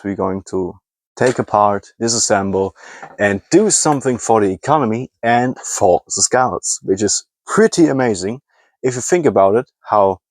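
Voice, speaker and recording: A man's voice: lowish voice, a little mellow, no echo. A man from Germany who speaks English as a second language with a German accent, rambling through a casual vlog and often stopping to search for words and correct himself. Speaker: scrapping hobbyist.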